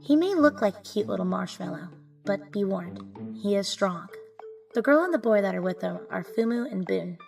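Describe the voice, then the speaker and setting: A woman's voice: medium pitch, animated, normal speed, vocal fry, a little mellow, faint music behind. A woman giving scripted first-person narration for an audio drama.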